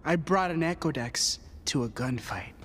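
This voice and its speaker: A man playing a cocky, excitable, tech-savvy young hustler in a video game, talking boastfully. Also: fairly high pitch, normal speed, a little hiss or hum. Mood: smug.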